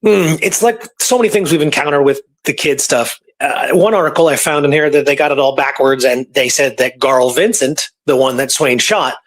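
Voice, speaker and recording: A man's voice: on the high side, normal speed, hardly any pauses. A man co-hosting a casual history discussion, informal and keen on his research, sometimes reading from documents. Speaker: co-host and researcher. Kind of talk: casual history discussion with read-out archive passages.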